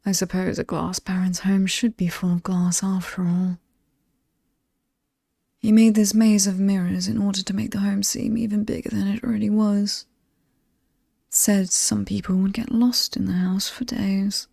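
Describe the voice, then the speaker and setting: Casting: actress as alluring, menacing British demoness for horror ASMR. Breathy half-whisper, dark and creaky, teasingly ominous. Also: female voice, medium pitch, steady tone, normal speed, dry recording. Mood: teasing, inviting. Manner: seductive, teasing, theatrically ominous, lingering on words. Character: alluring, sinister ageless demon woman showing off haunted mansion.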